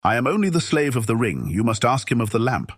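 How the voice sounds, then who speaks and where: A man's voice: mid-range voice, sing-song, fast talker, dry recording, studio quality. A man playing a genie bound as servant to a magic ring in a dramatized audiobook, with formal, declarative speech. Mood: solemn refusal. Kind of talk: dramatized audiobook character acting.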